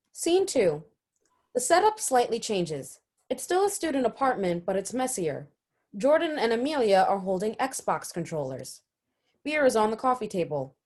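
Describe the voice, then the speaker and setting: A woman's voice: mid-range voice, a little mellow. A woman giving scripted narration as the reader of a play's stage directions.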